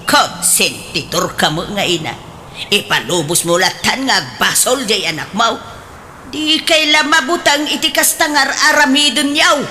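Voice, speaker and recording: A woman's voice: fairly high pitch, lively intonation, measured pace, pushed and bright, slight background noise. A woman playing a pious, nagging aunt in an Ilocano radio comedy drama, speaking emphatically with an Ilocano accent. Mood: reproachful, nagging.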